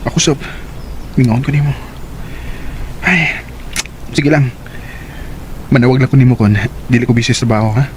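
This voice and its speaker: A man's voice: mid-range voice, moderate pace, pauses often, slight background noise, lightly acted. An actor with a Cebuano accent playing a devoted young working man who turns into a jealous boyfriend, speaking in an emotive, pleading way. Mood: tender. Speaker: radio drama actor. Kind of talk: Cebuano radio drama acting.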